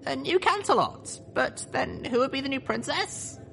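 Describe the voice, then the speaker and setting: An earnest young mare heroine in a storybook tale, voiced by a man. The voice sits far above a man's talk and swings in a lively way, full of wonder, over faint background noise. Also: extremely high pitch, normal speed, gravelly, voice pushed up, exaggerated voice. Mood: curious.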